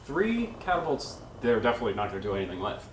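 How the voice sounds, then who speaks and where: A man's voice: medium pitch, big pitch swings, quick pace, a loud crowd, low-quality recording. A man playing a tabletop game, bantering casually in a blunt, wry way.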